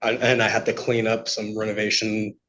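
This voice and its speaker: A man giving unscripted testimony to a judge, speaking in a halting, polite way. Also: on the low side, monotone, fairly bright tone. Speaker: petitioner testifying in court. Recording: dull, lo-fi courtroom audio.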